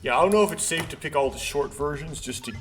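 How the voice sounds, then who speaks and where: A man doing a casual let's play, haltingly thinking aloud and reading visual novel text out. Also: on the high side. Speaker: let's player. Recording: background music behind voice. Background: game music.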